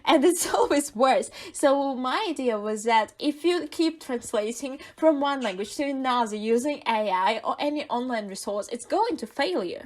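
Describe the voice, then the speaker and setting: A woman with a Russian accent, a native Russian speaker, co-hosting a podcast in casual chat, thoughtful and pausing with fillers as she searches for words. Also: sits high, lively intonation, a little mellow. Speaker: podcast co-host.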